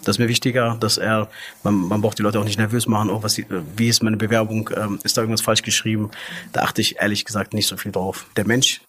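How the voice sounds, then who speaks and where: A man's voice: lowish voice, fairly bright tone. A man, a restaurant manager, speaking earnestly as an interview guest in loose, run-on sentences with many fillers. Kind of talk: casual interview.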